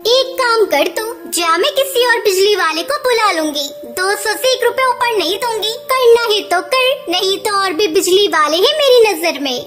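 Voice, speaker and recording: A woman's voice: very high-pitched, expressive, moderate pace, few pauses, a bit bright, faint music behind. A woman voicing a miserly, cunning, bossy mother-in-law bird in a cartoon, with a scolding, haggling, emphatic delivery. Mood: sly, firm. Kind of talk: Hindi cartoon voice acting.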